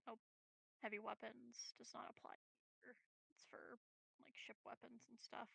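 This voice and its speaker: Female game player, higher bright voice with vocal fry, deliberating casually. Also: level tone, moderate pace, no room sound, poor audio. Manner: deliberating aloud.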